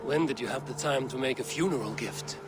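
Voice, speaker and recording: A man acting a steady, plain-spoken Viking warrior and counsellor in a game cutscene, calm and terse. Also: medium pitch, brisk, over loud music, lightly acted. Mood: friendly, approving.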